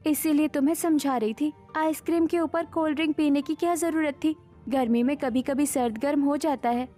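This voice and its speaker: Woman acting young bahu in a family cartoon, high voice, melodramatic, bit of music behind. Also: a little mellow, close to an everyday voice. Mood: complaining, chiding. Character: young daughters-in-law in a family, pampered or dutiful. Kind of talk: Hindi animated family story acting.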